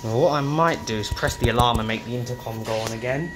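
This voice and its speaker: A man's voice: mid-range voice, quick pace, music in the background. A young man giving a casual running commentary on a handheld phone inside a lift. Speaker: amateur lift vlogger. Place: lifts and lobby inside a public building. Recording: handheld phone, loud background noise, clicks.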